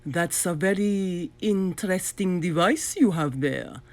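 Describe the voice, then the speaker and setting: A woman voicing a sly, smug male villain doctor in a children's storybook, with an oily, drawn-out manner. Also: lowish voice, a little mellow, lightly acted. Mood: wary. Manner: oily, drawn-out delivery, sputtering when flustered.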